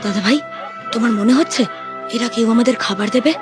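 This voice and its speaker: A woman's voice: a little high, fast talker, music in the background, natural voice. A woman voicing a frank, worried young schoolboy, a little brother in a cartoon, candid and fretful. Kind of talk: cartoon acting.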